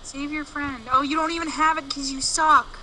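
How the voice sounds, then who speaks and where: A woman's voice: sits high, steady tone, normal speed, bright, forceful tone, slight background noise, rough recording. A woman co-commentating a let's play, teasing and sarcastically backseat-gaming the player. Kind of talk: casual gameplay commentary.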